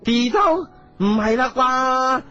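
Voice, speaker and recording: A woman's voice: sits high, lively intonation, slow pace, pushed and bright, exaggerated voice. A woman voicing a fussy, rambling old wizard king with a Hong Kong Cantonese accent in a radio comedy drama. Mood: surprised, fussy. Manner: rambling, hesitant, exclamatory. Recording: slightly dull audio over music.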